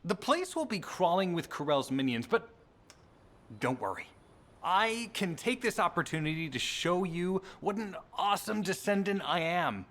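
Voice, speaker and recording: An actor playing a cocky, boastful, prickly young hero in a video game, with a brash, showy delivery. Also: male voice, on the high side, pushed and bright, heavily acted.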